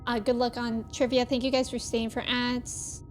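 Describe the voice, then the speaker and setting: A woman's voice: a little high, flat delivery, moderate pace, soft background music. A woman livestreaming a video game, chatting casually and expressively as she reacts and reads chat messages aloud.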